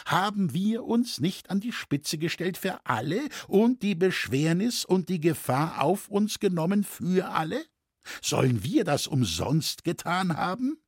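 A self-important tailor from a historical storybook, voiced by a man, pitched up above the reader's usual voice. He harangues shrewdly in rhetorical, pleading phrases, with a husky edge. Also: sing-song, measured pace, dry recording, studio quality, full character voice. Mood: urgent, persuasive. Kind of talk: audiobook character acting.

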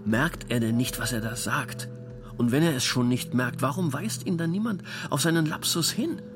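A pompous man of medium pitch as played by an actor, philosophizing self-importantly in a radio courtroom play. Music runs clearly behind him. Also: normal speed, close to an everyday voice. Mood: indignant. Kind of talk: radio drama dialogue.

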